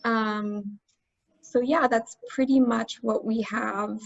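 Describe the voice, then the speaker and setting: An American woman tutoring German in a relaxed, chatty way, using casual slang. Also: fairly high pitch, takes it slowly, creaky. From United States. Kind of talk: casual webinar teaching. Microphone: video call. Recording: dull lo-fi video call audio.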